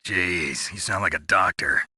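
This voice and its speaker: A man playing a gruff, sardonic, tough covert-ops soldier in a video game, with a gravelly voice and terse, wry delivery. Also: low-pitched, a little slow, pushed and bright, no room sound, clean studio sound, full character voice. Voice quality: gravelly, raspy.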